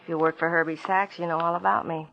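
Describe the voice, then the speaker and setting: Low-voiced, world-weary young woman, creaky. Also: little pitch movement, fast. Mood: wry, confessional. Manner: wry, bitter, vulnerable. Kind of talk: 1950s radio drama acting. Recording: dull old radio recording, music underneath.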